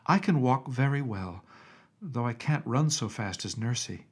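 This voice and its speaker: A man's voice: mid-range voice, expressive, measured pace, slightly rough. A man voicing a young princess girl who is innocent, affectionate and stubborn, with a pleading, childlike directness, in a storybook reading. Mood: earnest.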